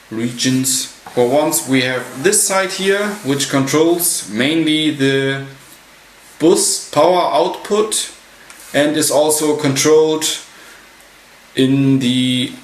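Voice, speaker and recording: A man giving an unscripted technical walkthrough as a hobbyist presenter, halting and pausing mid-sentence. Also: medium pitch. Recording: roomy echo, light background noise.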